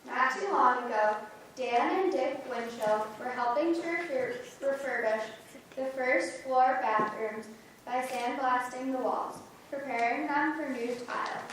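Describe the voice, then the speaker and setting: A woman reading funny church stories aloud in reader's theater, telling them in a lively way. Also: high voice, unhurried, slight background noise. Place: large church sanctuary. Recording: echoey church from far off.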